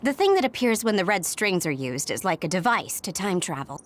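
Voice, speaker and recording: An actress playing a calm, understanding squadmate who explains things in a video game. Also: female voice, lowish voice, fairly quick, slight background noise. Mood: calm, explanatory. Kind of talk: English video game dub acting.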